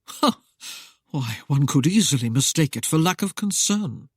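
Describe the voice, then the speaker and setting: A man's voice: on the high side, expressive, moderate pace, breathy edge, dry recording, clean studio sound. A man voicing an icy, curt nobleman. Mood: cold. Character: cold, curt, aloof nobleman. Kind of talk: audiobook character voice.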